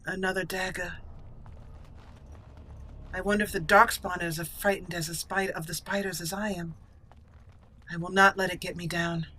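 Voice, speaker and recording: A woman's voice: fairly low pitch, level tone, normal speed, long pauses, pushed and bright, rough recording. A woman giving casual roleplay commentary as she plays a game, talking to her character and musing in role. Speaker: gaming channel host. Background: video game sounds.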